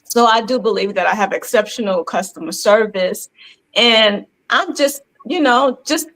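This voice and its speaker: A woman's voice: mid-range voice, fairly bright tone, low-quality recording. A woman in her fifties with a New York accent, a boutique owner speaking earnestly over a video call, repeating affirmations as she makes her case. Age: 50s. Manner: slow, earnest, affirming repetition. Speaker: resale boutique owner, award finalist. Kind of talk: virtual meet and greet interview.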